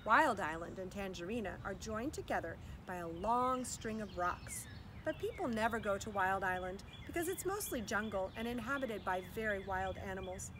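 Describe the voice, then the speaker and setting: A woman's voice: mid-range voice, animated, normal speed, background noise, close to an everyday voice. A woman voicing a courteous, worldly old female alley cat in a children's storybook reading. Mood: reminiscing.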